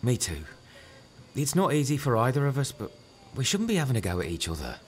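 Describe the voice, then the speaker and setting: A man's voice: medium pitch, big pitch swings, a little fast, lightly acted. An actor playing a concerned, conciliatory and slightly hapless middle-aged father in a radio soap.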